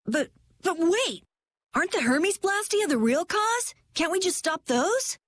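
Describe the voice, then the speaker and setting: A woman's voice: extremely high pitch, sing-song, unhurried, dry recording, full character voice. A woman voicing an earnest, anxious young boy adventurer in an anime-style video game. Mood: anxious, questioning.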